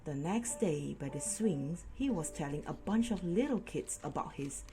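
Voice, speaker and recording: Animated woman reading a storybook, music behind. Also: deep voice, moderate pace, poor audio. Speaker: children's book reader. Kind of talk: expressive storybook read-aloud.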